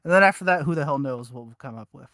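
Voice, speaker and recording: A man's voice: a little high, animated, very fast, sharp tone, dry recording, clean studio sound. A man co-hosting a podcast in casual chat, self-deprecating and mildly profane. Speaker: podcast co-host.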